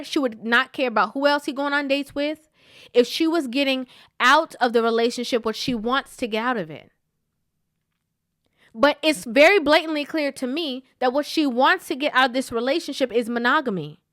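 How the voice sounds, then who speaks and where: A woman vlogger giving frank, emphatic commentary with a stuffy, sniffly nose, often checking in with a quick "okay?". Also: high voice, a bit bright, no echo, studio quality. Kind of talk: opinionated commentary.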